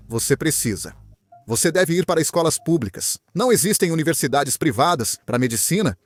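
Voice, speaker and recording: A young man from Venezuela, a guest in an interview, telling his story in an earnest, animated way. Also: on the high side, no echo. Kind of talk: interview answers, personal story.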